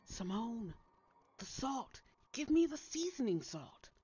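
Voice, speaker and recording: Woman acting an urgent, higher-pitched young heroine. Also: sing-song, slow pace, warm tone, slightly rough, dry recording, lo-fi sound, full character voice. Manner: urgent, exclaiming. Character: frightened, urgent young heroine of a horror story.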